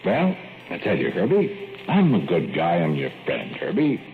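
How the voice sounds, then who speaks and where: A man's voice: low-pitched, huge pitch swings, normal speed, full character voice. An actor playing a talkative, teasing small-town man with a folksy rural way of speaking, in an old radio drama. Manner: rural dialect, needling and playful. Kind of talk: 1940s radio acting. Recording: tinny old radio broadcast, faint music.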